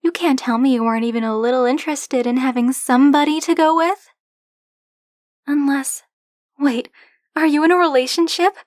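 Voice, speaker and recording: A woman's voice: sits high, fairly even intonation, normal speed, pauses often, no room sound, clean studio sound, lightly acted. A woman playing a flirty, possessive dragon-blooded librarian in an ASMR roleplay, speaking to the listener in a teasing, coy, insistent manner. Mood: coy, hopeful. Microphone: close, dry microphone.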